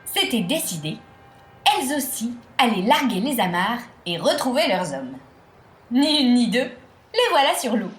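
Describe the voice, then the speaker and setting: Female storyteller for children's tale: fairly high voice, very unhurried, theatrical phrasing. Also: sing-song. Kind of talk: scripted children's tale narration. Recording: roomy echo, music behind.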